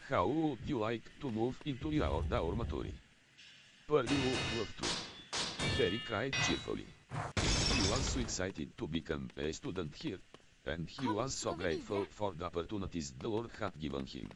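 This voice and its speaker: A man's voice: deep voice, very animated, moderate pace, long pauses, crowd noise. A text-to-speech male voice reading fan fiction aloud, spelling some words out letter by letter.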